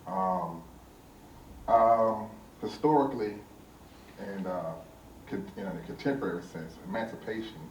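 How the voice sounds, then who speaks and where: A man with a low voice gives reflective remarks on an artists' panel. He speaks haltingly, with many pauses and hesitations. The microphone sounds distant in a reverberant room. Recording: roomy, distant mic, faint noise.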